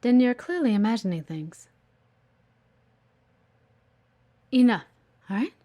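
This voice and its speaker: A woman's voice: fairly high pitch, sing-song, fairly quick, dull audio. A woman playing a blunt, guarded but earnest executive assistant in an audio roleplay, assertive and exasperated. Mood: defensive, flustered. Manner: exasperated, assertive, frequent sighs. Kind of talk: audio roleplay acting addressed to the listener.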